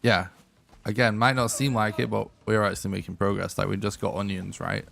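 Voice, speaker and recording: A young man streaming live gameplay who is losing his voice, thinking aloud and clearing his throat often. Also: fairly low pitch, level tone, fairly quick, fairly bright tone. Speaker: game streamer.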